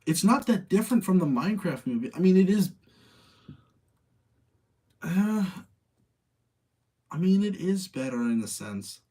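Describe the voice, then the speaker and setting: A man's voice: high-pitched, very animated, measured pace, long pauses, warm tone, slightly rough. A man chatting casually as a streamer to a live audience, opinionated and debating with himself, often repeating phrases for emphasis. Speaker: streamer hosting a live movie-rating chat.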